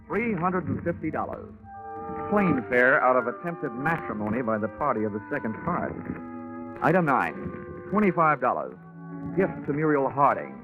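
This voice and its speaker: An American actor playing a wry, sardonic tough investigator in 1940s radio detective style, with deadpan quips. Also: male voice, medium pitch, moderate pace, narrow, tinny audio. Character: wry, wisecracking tough male private investigator. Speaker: radio drama lead actor. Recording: band-limited 1940s radio recording with music.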